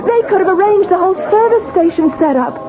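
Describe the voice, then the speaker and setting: An actress playing a distraught young newlywed wife in a 1970s radio drama, stammering and defensive. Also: female voice, very high voice, animated, fairly quick. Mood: eager. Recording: tinny old radio broadcast with music.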